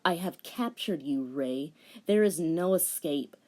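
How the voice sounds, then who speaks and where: A woman's voice: low-pitched, expressive, slow, mellow. Male vampire lord, grudge-bearing and grandiose, melodramatic storybook menace female reader acting him out. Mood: menacing. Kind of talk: dramatic fan fiction reading.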